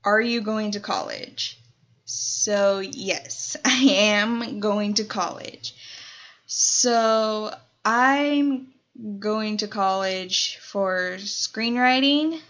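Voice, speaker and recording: A woman's voice: mid-range voice, drawn-out words, fairly bright tone, highs cut off. A nineteen-year-old woman from Colorado, chatting casually in a Q&A vlog, rambling with lots of fillers. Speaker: YouTuber.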